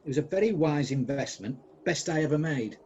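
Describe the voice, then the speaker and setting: A man's voice: on the high side, expressive, fairly quick, darkish tone, husky. A man acting a bitter, regretful old miser, haunted and pleading, in an amateur radio play. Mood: defensive, dismissive. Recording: dull home video-call recording, background noise.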